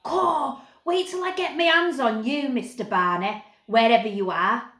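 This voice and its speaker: A woman voicing the rough eldest boy of a gang, threatening in coarse dialect. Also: fairly high pitch, measured pace, a bit bright, echoey room, voice pushed up.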